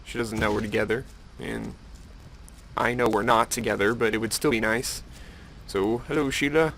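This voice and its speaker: A man's voice: fairly low pitch, steady tone, moderate pace, creaky, a bit bright, a little hiss or hum. A man giving casual, rambling gameplay commentary, thinking aloud with playful self-mockery. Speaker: gamer narrating his own playthrough.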